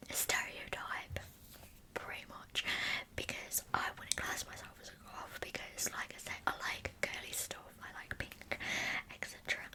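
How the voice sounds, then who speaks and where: A woman speaks entirely in a breathy whisper, very bright and sharp in tone. She takes her time, hosting whispered ASMR storytelling with a soft, deliberate delivery that slips into chatty asides. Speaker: ASMR true crime host. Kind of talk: whispered ASMR storytelling of a true crime case.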